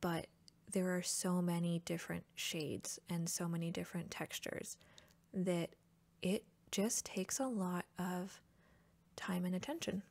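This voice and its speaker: A young woman talking softly, often dropping into whispers, her voice still scratchy from a recent cough, chatting in a relaxed way. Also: lowish voice, a little slow, gravelly. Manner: relaxed and chatty, with soft laughs. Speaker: ASMR artist painting while chatting. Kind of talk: soft-spoken ASMR art chat, sliding into whispers.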